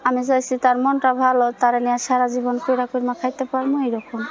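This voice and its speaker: A young woman of about eighteen with a colloquial Bangladeshi accent, giving plain, informal answers in a soft, weak-sounding voice. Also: high-pitched, monotone, fast talker, dull audio, slight background noise. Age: young adult, about 18. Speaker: interviewee.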